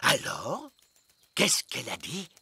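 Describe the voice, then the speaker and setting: A man's voice: medium pitch, wildly swooping pitch, slow, sharp tone, husky, no echo, exaggerated voice. A man playing a pompous, impatient fairy king in a French children's cartoon dub, commanding in manner. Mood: stern.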